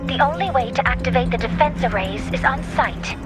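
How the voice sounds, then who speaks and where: A woman's voice: high-pitched, a little fast, close to an everyday voice. A woman playing a composed, concerned professor giving briefings in a video game. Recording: loud music behind the voice.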